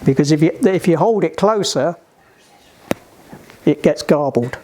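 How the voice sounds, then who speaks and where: Man teaching a practical class, kinda high voice, really slow and deliberate, bit of noise. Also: expressive, mellow. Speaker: sailing club instructor giving radio training. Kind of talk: hands-on practical instruction to a group.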